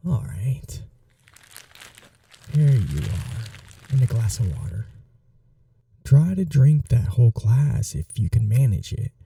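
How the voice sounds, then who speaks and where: A man playing a courteous, caring gentleman vampire in an intimate ASMR roleplay, speaking softly in an unhurried, polite, slightly old-fashioned way. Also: mid-range voice, sing-song, creaky, distant mic, a little hiss or hum, heavily acted.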